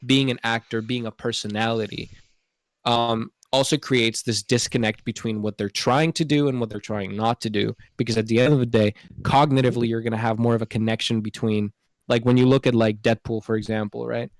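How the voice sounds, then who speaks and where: A man's voice: mid-range voice, fairly even intonation, brisk, dry recording. Male podcast host, mid-range voice, casual but earnest explainer, very little room sound. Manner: earnest, explanatory, checks in with "right?". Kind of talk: casual opinion discussion.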